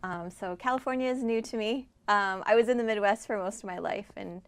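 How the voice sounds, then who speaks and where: A middle-aged woman who grew up in rural Iowa speaks as an interview guest. She explains things in a conversational way, at a medium pitch. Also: brisk. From born in Afghanistan, grew up in rural Iowa. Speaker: interview guest, doctor and nonprofit member.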